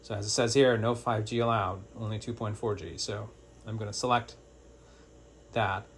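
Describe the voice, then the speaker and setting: A man's voice: fairly low pitch, fairly even intonation, normal speed, slight background noise. A man presenting an informal step-by-step product tutorial in a plain-spoken, hands-on, instructional way.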